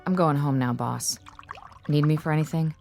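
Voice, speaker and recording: Very deep-voiced, casual office colleague. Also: female voice, normal speed, creaky, darkish tone, faint music behind. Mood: casual, musing. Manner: informal, musing. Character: casual, thoughtful female office colleague of a codebreaker. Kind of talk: radio drama acting.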